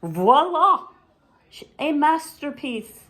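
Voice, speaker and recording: A woman's voice: high-pitched, big pitch swings, drawn-out words, fairly bright tone, pitched above the performer's own voice, heavily acted. A woman voicing a bossy, showy big-sister animal in a children's storybook, announcing things grandly and confidently. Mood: breezy, confident.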